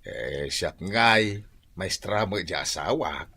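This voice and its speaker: Deep, slow, wry older man. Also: lively intonation, creaky, sharp tone, husky, exaggerated voice. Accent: Ilocano. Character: older male confidant, slow, teasing, wry. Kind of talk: Ilocano radio drama acting.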